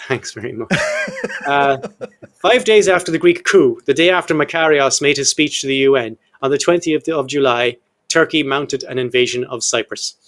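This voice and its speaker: A man's voice: mid-range voice, moderate pace, fairly bright tone. A man of about fifty hosting a casual history podcast, jokey and irreverent, who swears offhandedly and breaks up long read-out quotes with his own asides. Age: 50s. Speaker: podcast host.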